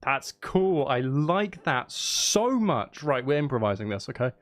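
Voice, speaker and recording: An excitable man streams himself making music. His mid-pitched voice moves up and down a lot as he exclaims and apologises in casual chat. Plucked instrument music plays behind him. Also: unhurried, no room sound. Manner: scats riffs in nonsense syllables. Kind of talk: casual livestream chat while composing music. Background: bass guitar and music playing.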